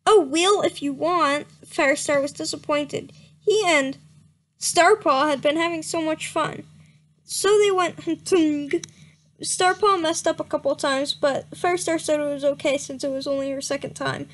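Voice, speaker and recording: A woman's voice: sits high, steady tone, normal speed. A young woman giving a mocking dramatic reading of a fan story, with sarcastic asides and frequent giggles. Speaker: reader.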